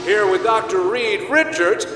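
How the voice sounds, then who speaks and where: An actor playing an earnest television science interviewer, giving a formal, portentous broadcast introduction. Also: male voice, high-pitched, expressive, measured pace. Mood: impressed. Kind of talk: broadcast presenter delivery in audio drama. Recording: echoey hall reverb, music behind.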